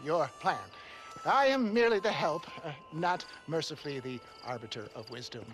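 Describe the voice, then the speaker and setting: A man's voice: on the high side, expressive, moderate pace, fairly bright tone, faint music behind. A man playing a pompous, wheedling older snake-oil salesman in a video game, speaking in a florid, theatrical, genteel manner. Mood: flattering, evasive. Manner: florid, pompous, hesitating for effect. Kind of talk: video game cutscene acting.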